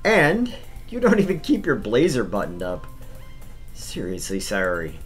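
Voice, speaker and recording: A man's voice: lowish voice, wildly swooping pitch, unhurried, soft background music. A man voicing an exasperated, easily flustered teenage boy who scolds and stammers in a visual novel. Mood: scolding. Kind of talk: visual novel read-aloud.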